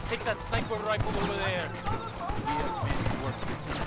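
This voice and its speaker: A shrill, extremely high voice over loud music, a belligerent Mexican soldier in a video game. He sneers and snaps, with a Mexican accent. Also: wildly swooping pitch, normal speed. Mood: enraged, sneering. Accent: Mexican Spanish. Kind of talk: video game cutscene acting.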